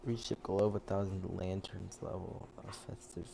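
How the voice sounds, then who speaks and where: A man's voice: deep voice, level tone, moderate pace, creaky, noisy background. A man commentating casually on a game livestream, muttering to himself and reading screen text aloud. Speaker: game streamer. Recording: loud game music behind. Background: loud game music.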